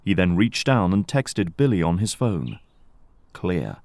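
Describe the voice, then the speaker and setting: A man's voice: low-pitched, sing-song, a little slow, darkish tone, no room sound. A man narrating an audio drama in a scripted storytelling style.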